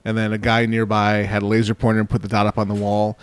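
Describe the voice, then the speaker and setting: Male co-host, low pitch, dry recording, loose joking chatter. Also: completely flat delivery, quick pace, clear, resonant tone, dry recording. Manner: joking, rambling with fillers. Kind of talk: casual group chat on a web show.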